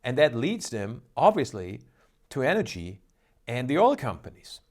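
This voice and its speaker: A man's voice: mid-range voice, sing-song, normal speed, a little mellow. A man hosting a stock trading show, talking in an upbeat, emphatic, salesy way. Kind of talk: energetic market commentary.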